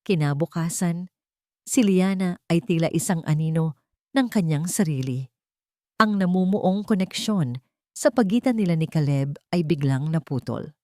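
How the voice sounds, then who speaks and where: A woman narrates a romantic drama from a script. Her voice sits slightly low, and the recording is dry and close, with storytelling phrasing throughout. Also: moderate pace, darkish tone, no echo.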